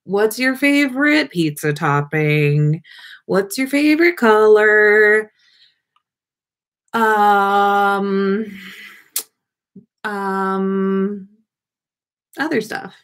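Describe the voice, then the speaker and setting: A woman's voice: mid-range voice, very slow, pauses often, a bit bright. A woman hosting a casual livestream, chatting with viewers in a playful, rambling way as she thinks aloud. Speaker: livestream podcast host. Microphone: webcam livestream setup.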